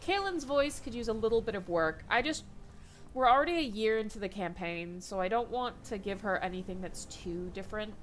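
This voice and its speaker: A tired young woman chatting in an excitable, self-deprecating way while playing a game on her channel. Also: medium pitch, a little fast. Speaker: gaming vlogger. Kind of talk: casual let's-play chat.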